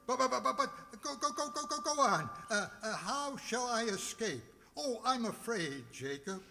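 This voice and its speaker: A crotchety, frightened old miser in a radio drama. His voice is high and piercingly sharp, and he stammers and frets haltingly through a long reverb with music behind. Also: big pitch swings, gravelly, exaggerated voice. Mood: anxious, placating. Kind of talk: theatrical radio play acting.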